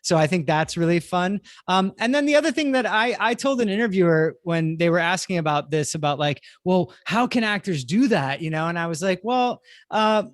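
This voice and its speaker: A man's voice: sits high, animated, normal speed, few pauses, no echo, clean studio sound. A man hosting a casual online panel chat, encouraging and chatty. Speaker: host of a theater livestream panel. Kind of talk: casual panel chat over a video call.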